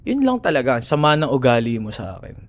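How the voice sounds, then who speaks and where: A man's voice: mid-range voice, very animated, fast talker. A man with a Filipino accent playing a grumbling, teasing young school rival who secretly cares, in a sarcastic, casual ASMR boyfriend roleplay. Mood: sulky, grudging. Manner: sarcastic, casual bickering with sighs and murmured asides.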